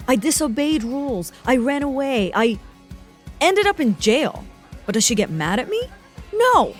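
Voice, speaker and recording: An exasperated young rebel woman, played by an actress, sarcastic and emphatic. Her voice is fairly high and very lively, in comic audio drama style with faint music behind. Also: unhurried. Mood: frustrated venting.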